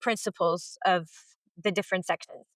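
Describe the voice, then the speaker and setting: A young woman from northern Lebanon, a middle school teacher, telling her story in an expressive, animated way and acting out the dialogue. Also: moderate pace, no echo. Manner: expressive, acts out dialogue with sudden pitch jumps.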